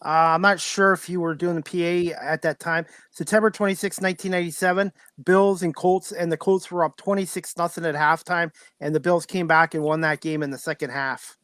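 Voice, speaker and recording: A man's voice: high-pitched, steady tone, fairly quick, a bit bright, no echo. A man hosting a casual talk show interview, chatty and agreeable with his guest. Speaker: podcast host, interviewer.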